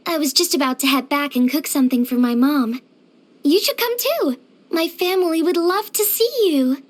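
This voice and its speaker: A woman playing a cheerful, easygoing young craftswoman in a video game, with a gentle, chirpy, earnest manner. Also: high-pitched, animated, normal speed. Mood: friendly, chatty. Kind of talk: video game character voice acting.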